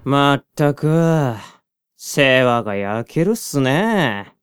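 A laid-back little spirit, voiced by a woman, drawling extremely slowly in a very smooth, dry-recorded voice. Deadpan and laconic audio drama acting. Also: extremely low pitch, no echo, heavily acted. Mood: unimpressed.

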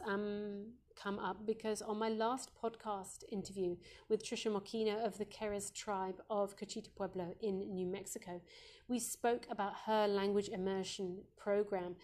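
A woman with a warm, mellow, slightly croaky voice hosts a live parenting Q&A. She speaks gently and reassuringly as a Montessori educator, often pausing on "um". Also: medium pitch. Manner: gentle, reassuring, with frequent ums.

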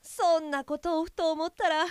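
A woman's voice: very high voice, fairly even intonation, moderate pace, dry recording, studio quality. A woman playing an earnest, anxious young care worker in an audio drama, flustered and questioning herself. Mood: agitated. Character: anxious, earnest young female guide helper for the blind. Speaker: voice actor. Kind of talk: audio drama acting with inner monologue.

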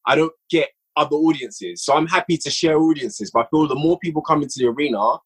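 A man guesting on a podcast, talking about his audio drama work in a relaxed, rambling way with lots of fillers. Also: on the high side, fast, slightly rough. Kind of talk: casual podcast chat.

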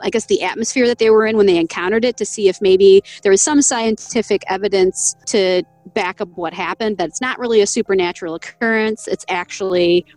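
A woman's voice: mid-range voice, a little fast, hardly any pauses, a little mellow, dry recording, soft background music, rough recording. A woman co-hosting a podcast, reading from an article in a casual, chatty way, laughing often and stumbling over names. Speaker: podcast co-host.

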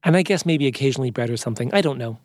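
A man voicing a sensible, patient female companion in a children's story, matter-of-fact and gently instructing. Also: medium pitch, lively intonation, racing, no room sound, studio quality, close to an everyday voice.

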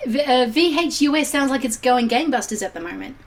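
A woman hosting a casual film podcast, her voice slightly croaky from a sore throat. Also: high-pitched, lively intonation, brisk. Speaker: podcast host.

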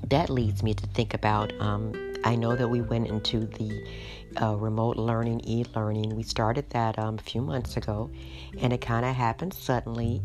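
A middle-aged woman hosting a podcast, hoarse tonight, chatting in an unhurried way with frequent ums. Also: rumbling low. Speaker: podcast host. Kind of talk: casual solo podcast talk. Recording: music playing underneath.

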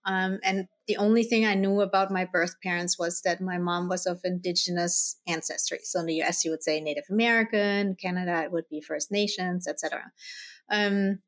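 A woman's voice: fairly low pitch, steady tone, a little fast. A middle-aged woman from Germany telling a personal story in a conversational way as an interview guest. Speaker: guest, spirit guide channeler.

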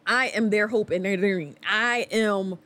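A woman in her forties co-hosts a podcast in casual chat. Her voice sits at an ordinary pitch, and she talks firmly, stretching out her words when she wants to make a point stick. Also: fairly bright tone. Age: 40s. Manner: firm, corrects others, slows down for emphasis. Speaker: podcast co-host.

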